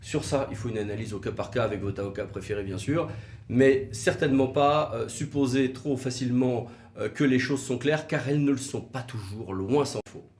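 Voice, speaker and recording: A man's voice: mid-range voice, moderate pace, darkish tone. A man giving a didactic legal explanation, posing rhetorical questions and stressing key words. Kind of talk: explanatory legal video talk, semi-scripted. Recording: roomy, echoey room sound.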